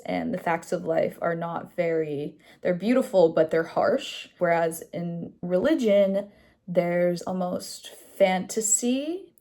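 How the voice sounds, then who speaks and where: A woman's voice: fairly low pitch, vocal fry, dark tone. A young woman chatting casually in a vlog about her day and a book she is reading. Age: young adult. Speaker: vlogger.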